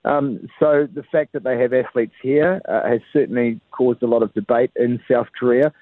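A man's voice: medium pitch, fairly even intonation, moderate pace, no room sound. A man reporting by phone, chatty, with lots of "uh" fillers. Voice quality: tinny phone line. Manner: conversational, frequent "uh" fillers. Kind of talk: live phone cross to a radio show. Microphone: telephone. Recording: phone line.

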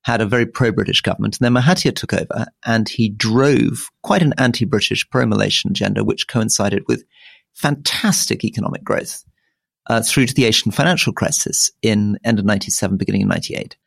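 A man's voice: a little fast, gravelly, slightly rough, no room sound, clean studio sound. A man in his sixties, co-hosting a podcast and talking through political history in a thoughtful, digressive way. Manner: thoughtful, digressive, rises in pitch when animated. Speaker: podcast co-host. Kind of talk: political discussion.

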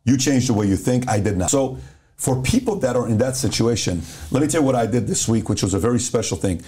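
Middle-aged man from Iran, relaxed warm voice, casual podcast guest who plays out both sides of a story. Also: mid-range voice, fast talker. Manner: animated storyteller who acts out dialogue.

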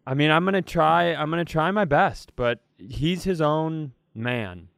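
A man co-hosting a podcast, chatting casually in an eager, animated way and steering the talk. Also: on the high side, measured pace, gravelly, dry recording, studio quality. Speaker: podcast co-host.

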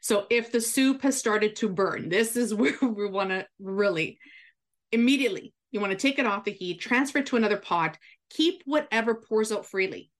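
A woman's voice: mid-range voice, moderate pace. A woman guest giving cooking advice in a chatty, instructive radio style, often checking in with "right?". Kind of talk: explanatory radio chat.

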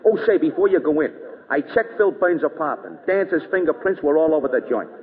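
An actor playing a brusque, tough police lieutenant in an old radio drama, blunt and slangy. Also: male voice, on the high side, lively intonation, brisk, phone-quality sound, lightly acted. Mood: brisk, eager. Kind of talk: 1930s-40s radio drama acting. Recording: reverberant, thin old radio broadcast.

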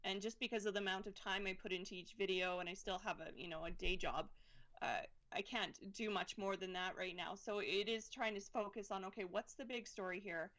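A woman's voice: medium pitch, steady tone, fairly quick, fairly bright tone. A woman from Alberta with a Canadian accent, answering interview questions about her political videos with hedging and frequent restarts. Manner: hedging, self-deprecating, many restarts. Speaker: political video creator, guest.

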